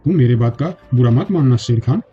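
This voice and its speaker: A man's voice: mid-range voice, lively intonation, fairly quick, slightly rough. A man voicing a friendly, teasing male bear in a children's cartoon, in a dull recording with music. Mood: apologetic, hurt.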